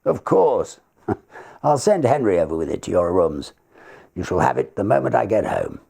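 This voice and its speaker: A man voicing a composed, kindly older barrister in a storybook, with a gravelly voice and a measured, fatherly manner. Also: low-pitched, very animated, mellow, pitched above the performer's own voice, full character voice.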